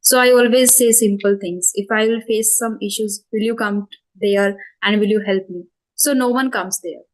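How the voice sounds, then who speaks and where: A woman's voice: mid-range voice, steady tone, normal speed, low-quality recording. Indian woman answering at an easy pace. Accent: Indian English. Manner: thoughtful, many fillers and self-corrections. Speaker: spoken English channel host. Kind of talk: conversational interview answers.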